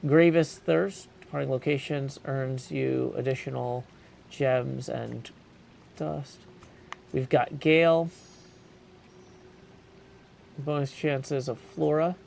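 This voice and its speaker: A man's voice: on the high side, creaky, a little mellow. Man casually commentating a game, background noise. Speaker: streamer practicing game voice acting. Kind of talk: casual gameplay commentary, reading game text aloud.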